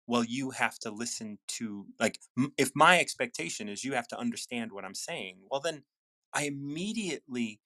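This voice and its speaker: An earnest man hosting a casual live chat, often repeating himself and restarting his sentences. His voice sits at an ordinary middle pitch. The sound is close and dry. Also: bright, forceful tone, dry recording. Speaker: host.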